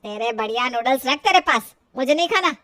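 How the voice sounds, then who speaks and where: Woman acting stubborn old mother-in-law, high and scolding. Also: expressive, fairly quick, pushed and bright, no echo. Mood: angry, scolding. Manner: indignant, grumbling, talks to herself.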